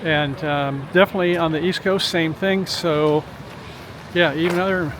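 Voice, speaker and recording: A man's voice: on the high side, steady tone, normal speed, background noise. A man with an American accent giving casual, rambling, unscripted commentary as a community TV reporter. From Minnesota, United States. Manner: chatty, rambling. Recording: busy crowd chatter behind. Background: crowd at an open house event.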